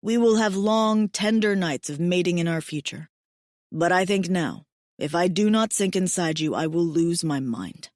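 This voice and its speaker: Woman doing devoted alien male lover, huge and gentle, formal and earnest, dry close sound. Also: fairly low pitch, lively intonation, normal speed, no echo, natural voice. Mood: urgent. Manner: soft, earnest, formal phrasing.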